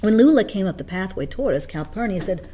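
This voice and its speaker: A woman narrating a novel as a read-along, in an expressive storytelling style. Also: mid-range voice, fast, breathy edge.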